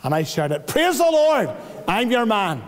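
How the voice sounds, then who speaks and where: A middle-aged man tells his story from a church pulpit with lively bursts of excitement. His voice sits high for a man. A long church reverb trails each phrase. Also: unhurried. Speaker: church testimony speaker. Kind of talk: personal testimony in church. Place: church hall at a wooden pulpit. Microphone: pulpit microphone.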